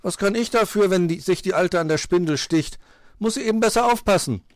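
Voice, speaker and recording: A man's voice: high-pitched, brisk, dry recording, voice pushed up, close to an everyday voice. A man voicing a lazy, rude fairy-tale girl with insolent, slangy back-talk. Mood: cheeky.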